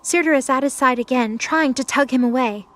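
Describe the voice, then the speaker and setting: High-pitched woman narrating an audio drama. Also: fairly quick, a bit bright.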